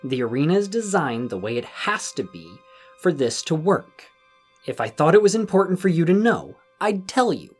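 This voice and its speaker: Audio drama villain played by a man: secretive, stern enforcer, rough-edged husky voice, icy authority, music bed. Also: a little high, very animated, moderate pace. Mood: stern, insistent. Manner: cold, commanding, condescending. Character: stern, secretive male enforcer of a mysterious patron. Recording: music under the voice.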